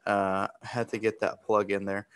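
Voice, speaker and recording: Man, low pitch, webinar moderator, casual, chatty, informal. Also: flat delivery, unhurried, smooth. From born in Romania, raised in the US from age three. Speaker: webinar host. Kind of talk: casual moderating, questions and thanks.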